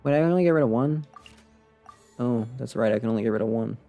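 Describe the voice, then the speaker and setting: A man's voice: medium pitch, fast talker, dark tone. A man doing casual live gameplay commentary, thinking aloud in a self-deprecating way as he mutters and exclaims. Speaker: video game livestreamer. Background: game music.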